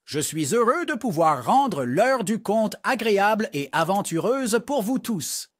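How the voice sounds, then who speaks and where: A man narrating a scripted storybook for young children in an expressive read-aloud manner. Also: high-pitched, a little slow, no room sound, clean studio sound.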